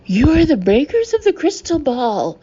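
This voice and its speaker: A woman's voice: high-pitched, very animated, normal speed, mellow, voice pushed up. Woman doing a snarling fake fortune teller. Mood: furious. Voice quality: odd put-on accent, broken grammar. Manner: snarls orders, tacks "please" onto everything. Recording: dull lo-fi with faint hiss.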